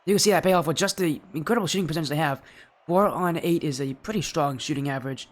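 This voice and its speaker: A young man doing solo play-by-play commentary for an esports match, sounding a little stuffy and yelling in high bursts at big plays. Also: fast. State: slightly stuffy from allergies. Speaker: esports caster.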